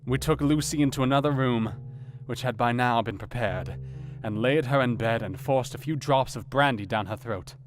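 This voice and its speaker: Earnest doctor-narrator male actor, dramatic, formal audiobook delivery, medium pitch, light music underneath. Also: normal speed, natural voice. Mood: grave, measured. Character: earnest male doctor recounting a grim night.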